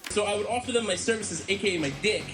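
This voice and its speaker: A man's voice: sits high, fast. A man joking crudely and clownishly in a reality show interview as a tattoo artist. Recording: guitar music behind the voice. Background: guitar music.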